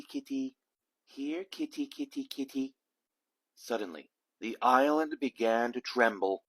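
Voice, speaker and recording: A man reading a children's picture book aloud in an animated, theatrical way, with dramatic emphasis. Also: on the low side, poor audio. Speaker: storybook reader, a dad reading aloud.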